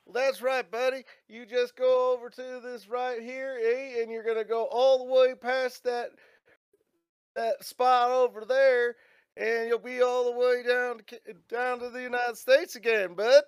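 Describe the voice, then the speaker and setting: A man's voice: very high voice, little pitch movement, unhurried, clear, resonant tone, no echo, clean studio sound. A man voicing a male Canadian border guard in a put-on Canadian accent, drawling "eh" and "buddy". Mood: folksy.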